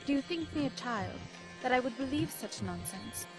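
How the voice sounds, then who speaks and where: A woman's voice: sits high, lively intonation, normal speed, over loud music, rough recording. A woman playing a proud, indignant young princess in a dramatic video game, with a formal, imperious manner. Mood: haughty, indignant.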